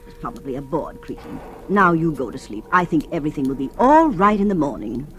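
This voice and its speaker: Low-voiced consoling woman, radio horror. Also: very animated, brisk, fairly bright tone, slight background noise. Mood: soothing, uneasy. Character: soothing, pitying woman comforting a frightened girl. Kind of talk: 1940s radio drama acting. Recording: old radio drama with music underneath.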